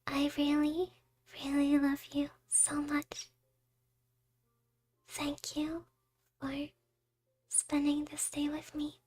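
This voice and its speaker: A woman's voice: high-pitched, monotone, slow, long pauses, darkish tone. A young woman playing a doting, shy wife in a romantic ASMR roleplay, speaking in a cutesy, hesitant way. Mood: tender, hushed. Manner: cutesy, hesitant, giggly, drifting into whispers.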